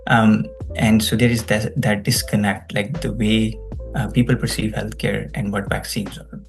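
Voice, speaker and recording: A man's voice: on the low side, level tone, moderate pace, creaky, soft background music. A man with a Pakistani accent speaking as an interview guest, explaining things conversationally and checking in with "right?". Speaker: guest, global health researcher.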